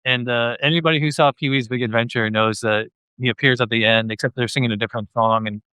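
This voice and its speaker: A man's voice: medium pitch, steady tone, fast, bright, forceful tone, clear, resonant tone, rough recording. A man hosting a podcast, chatting casually about pop music. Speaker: podcast host.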